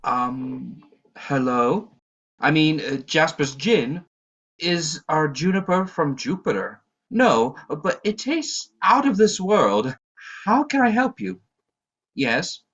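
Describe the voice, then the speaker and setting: A man's voice: fairly high pitch, animated, slow pace, a bit bright, slightly rough, highs cut off, exaggerated voice. A man playing a polite, flustered lobby attendant in an audio play, deferential and hesitant. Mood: flustered, impatient.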